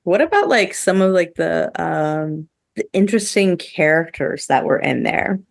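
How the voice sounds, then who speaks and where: A woman co-hosting a podcast in a casual review chat, with halting, filler-heavy phrasing. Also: on the low side, lively intonation, vocal fry, no echo, studio quality. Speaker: podcast co-host.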